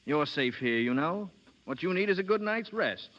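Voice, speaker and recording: An actor playing a brisk, gallant young gentleman in a 1930s radio drama, speaking emphatically. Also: a little high, lively intonation, natural voice. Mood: reassuring, amused. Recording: dull lo-fi 1930s radio broadcast.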